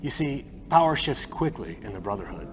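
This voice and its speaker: An ambitious villain's lieutenant in a game cutscene. He is smooth and flattering, with a very animated pitch that rises and falls as he schemes conspiratorially. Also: a little fast, loud music behind the voice. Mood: scheming, eager. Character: scheming, ambitious lieutenant of a militant brotherhood, adult man. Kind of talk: video game cutscene acting.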